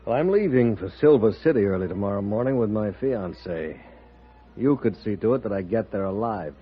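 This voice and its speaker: Husky, uneasy frontier businessman. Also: on the low side, lively intonation, brisk. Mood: earnest. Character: uneasy, proud, wealthy railroad man of the Old West. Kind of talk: 1950s radio western acting. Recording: muffled vintage radio broadcast with faint music.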